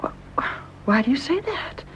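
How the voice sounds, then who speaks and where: A British actress playing a devoted, anxious young wife in a radio drama, genteel and hesitant. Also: female voice, sits high, big pitch swings, a little slow, a bit bright, slight background noise, heavily acted, low-quality recording. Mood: troubled, earnest. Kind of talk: BBC radio drama acting.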